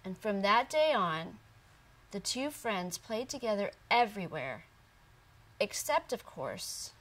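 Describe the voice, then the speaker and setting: Woman reading a kids book, real slow and expressive, a bit away from the mic. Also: mid-range voice. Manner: deliberate, expressive storytelling. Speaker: picture book reader. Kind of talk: children's storybook narration. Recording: distant from the microphone.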